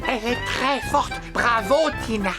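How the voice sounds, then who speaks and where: A woman voicing a cheeky, boastful young piglet in a children's cartoon, with an excitable manner. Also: a little high, a little slow, natural voice. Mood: curious. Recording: music over the voice.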